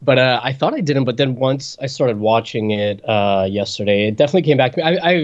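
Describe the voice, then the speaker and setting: A man's voice: medium pitch, fast talker, lo-fi sound. A man co-hosting a podcast, a bit congested, trading playful puns in casual chat. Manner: playful punning, lots of fillers and restarts. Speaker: podcast co-host. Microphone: webcam setup, remote recording.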